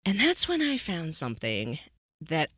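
A woman's voice: deep voice, wildly swooping pitch, measured pace, a bit bright, slightly rough, no echo, clean studio sound. A woman hosting a podcast in a conversational, emphatic way, often checking in with rhetorical questions. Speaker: podcast host.

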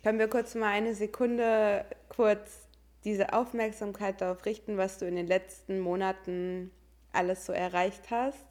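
A woman's voice: medium pitch, little pitch movement, measured pace, a little mellow. A woman with a mid-range voice hosts a casual podcast conversation. She talks in an informal, enthusiastic way. Bits of English slang slip into her sentences. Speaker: podcast host.

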